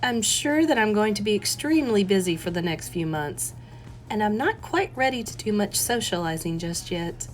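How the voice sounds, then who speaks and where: A woman's voice: medium pitch, sing-song, moderate pace, faint music behind, close to an everyday voice. A woman voicing an earnest, persistent and polite widow playing amateur sleuth in an audiobook, with a lilting, emphatic delivery. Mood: polite, shy. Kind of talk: audiobook character voice.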